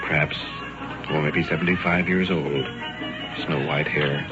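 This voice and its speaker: A man's voice: extremely low pitch, steady tone, a little slow, loud music behind the voice. An American man reporting on the scene as host of a 1950s radio police documentary. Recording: dull, muffled 1950s radio field recording.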